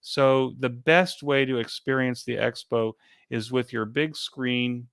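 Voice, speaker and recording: A man being interviewed as an event organizer, giving an informal step-by-step explanation in a halting, repetitive way. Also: medium pitch, fairly even intonation, gravelly, a bit bright, smooth, no echo, clean studio sound.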